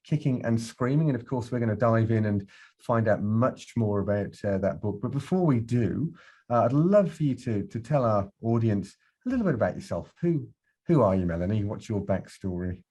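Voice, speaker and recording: A man's voice: fairly low pitch, animated, normal speed, warm tone, slightly rough. A man hosting a podcast interview, upbeat and welcoming as he asks questions. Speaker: podcast host.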